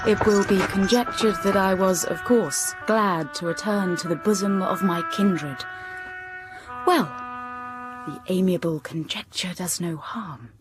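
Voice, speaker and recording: An actress playing a reserved, melancholy young gentlewoman, narrating her life in a measured way in a literary audio drama. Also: lowish voice, close to an everyday voice. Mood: sombre, reflective. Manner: measured, sombre. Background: music.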